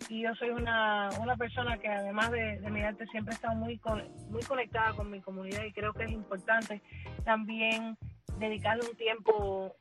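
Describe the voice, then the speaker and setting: A woman in her thirties, a musician whose first language is English, talking warmly in a radio interview and often repeating words as she finds them. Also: medium pitch, rough recording. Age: 30s. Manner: warm, chatty, repeats words while finding them. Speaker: musician guest.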